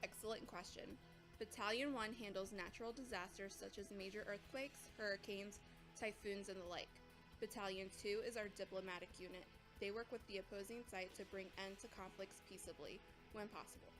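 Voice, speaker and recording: A woman playing a composed, patient academy instructor, lecturing in a measured, authoritative way as scripted drama. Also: mid-range voice, steady tone, music in the background, lightly acted. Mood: instructive, composed. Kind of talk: scripted audio drama dialogue.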